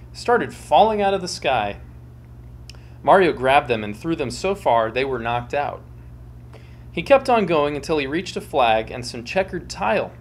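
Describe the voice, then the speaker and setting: A man in his twenties hosting a dramatic comic reading in a theatrical, mock-serious manner with deliberate enunciation. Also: medium pitch. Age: 20s. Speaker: show host and reader. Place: small home room with bookshelves, indoors. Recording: clear background hum and noise.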